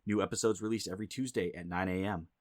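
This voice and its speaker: A man's voice: sits low, steady tone, quick pace, no room sound. A man reading a playful, conversational promo as a podcast host, close to the microphone. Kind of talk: scripted promo read, jokey. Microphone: close dry microphone.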